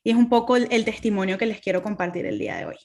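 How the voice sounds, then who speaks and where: A woman's voice: mid-range voice, big pitch swings, very fast, vocal fry, darkish tone. A woman in her thirties from Caracas with a Venezuelan accent, giving an earnest faith talk over a video call, with many fillers and restarts. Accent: Venezuelan Spanish, Caracas. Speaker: guest speaker.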